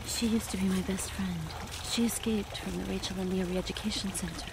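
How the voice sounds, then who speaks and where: An actress playing a wary, yearning enslaved handmaid in an audio drama, hushed and hesitant. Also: female voice, mid-range voice, fairly even intonation, a little fast, vocal fry, noisy background, natural voice. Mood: urgent, hopeful.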